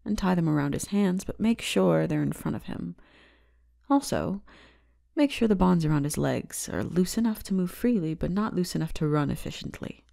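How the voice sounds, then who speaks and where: Girl doing a bossy teasing demon lord's daughter, asmr roleplay, soft n unhurried, lowish and a bit creaky, close dry sound. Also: lively intonation, dry recording. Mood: stern, commanding. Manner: soft-spoken, unhurried, slipping into breathy whispers. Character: commanding, teasing young demon lord's daughter, dominant yet caring. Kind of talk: ASMR roleplay, intimate scripted character acting.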